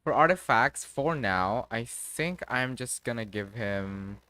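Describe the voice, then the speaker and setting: Slow, halting male game streamer. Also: mid-range voice, expressive, bright, forceful tone, clear, resonant tone, no echo. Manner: halting, muttering to himself, mild swearing. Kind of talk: casual live gaming commentary, thinking aloud.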